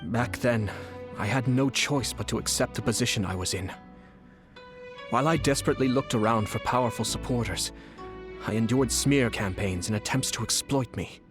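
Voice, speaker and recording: An actor playing a refined, shrewd young clan lord in a video game, speaking in a formal, measured, courtly way. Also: male voice, medium pitch, close to an everyday voice. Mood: grave, resolute. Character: refined young nobleman, calm, shrewd clan head and commissioner. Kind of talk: scripted video game character dialogue. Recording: game music behind the voice.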